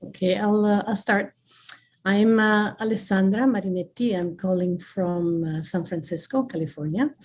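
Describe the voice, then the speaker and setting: A woman's voice: medium pitch, normal speed, darkish tone. A woman from Italy, introducing herself informally and a little hesitantly as a panelist. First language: Italian. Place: online video call.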